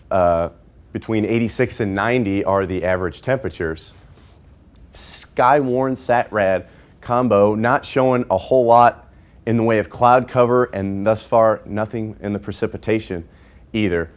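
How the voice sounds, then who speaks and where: Low-voiced man presenting the weather. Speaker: TV weather forecaster. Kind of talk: unscripted weather presentation, live aircheck.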